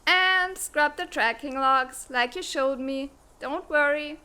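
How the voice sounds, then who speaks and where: A woman's voice: high-pitched, slow pace, pushed and bright, exaggerated voice. A woman playing a brash, scheming teenage schoolgirl in a comic fan dub, cocky and playfully threatening. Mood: cocky, mischievous.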